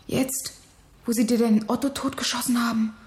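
A woman's voice: a little high, steady tone, brisk, a little mellow, close to an everyday voice. An actress playing an earnest, defiant young factory woman in a radio drama, speaking with intensity. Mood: grieving, defiant. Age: young adult. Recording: roomy, echoing interior.

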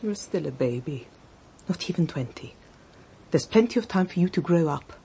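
An actress playing a brisk, motherly housekeeper in a radio drama, scolding and bossy but fond. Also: sits low, animated, creaky, dark tone. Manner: dismissive, bossy. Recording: faint background noise.

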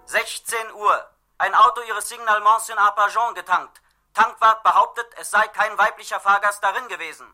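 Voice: medium pitch, fairly even intonation, normal speed, loud music behind the voice, lightly acted. A performer playing an impersonal police dispatch announcer, official and staccato, in a 1960s radio play. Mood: urgent, impersonal.

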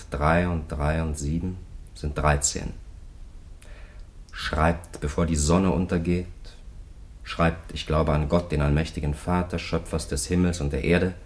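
Deep, steadfast imprisoned chaplain. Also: male voice. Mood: contemplative, visionary. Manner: grave, deliberate, contemplative. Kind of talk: 1950s German radio play acting. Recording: roomy 1950s radio studio recording.

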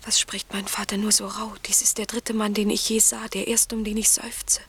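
An actress playing an innocent young daughter in a classic German radio drama, tender and earnest, with a breathy voice. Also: female voice, mid-range voice, flat delivery, moderate pace, a bit bright, no room sound. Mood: anxious, smitten. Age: young adult.

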